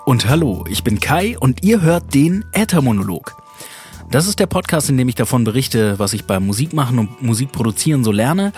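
Chatty podcast host, stuffy from a cold, husky and dry, laughs easily. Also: male voice, medium pitch, a little mellow, no room sound. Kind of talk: casual solo podcast talk. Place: small home studio.

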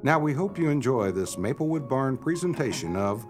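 A man reads a scripted introduction as a radio theatre announcer. His delivery moves expressively in pitch, with music playing clearly behind him. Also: big pitch swings, moderate pace.

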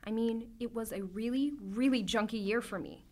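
A woman's voice: a little high, normal speed, a little mellow, a little hiss or hum, close to an everyday voice. A young female actor playing an anxious, emotional teenage girl in a radio drama. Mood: defensive, upset. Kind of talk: youth radio drama acting.

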